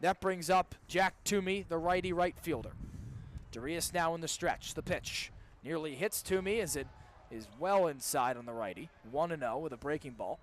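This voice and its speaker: A young man with a fairly high voice calls a baseball game live for radio. He works as the play-by-play announcer, describing the action as it unfolds. Ballpark noise is audible behind him. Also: normal speed. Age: young adult. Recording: clear background noise, live ballpark broadcast.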